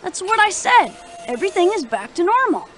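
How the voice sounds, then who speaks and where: Very high, eager spy kid. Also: female voice, sing-song, fairly quick, fairly bright tone, exaggerated voice. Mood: pleased. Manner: enthusiastic. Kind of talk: educational game cartoon acting.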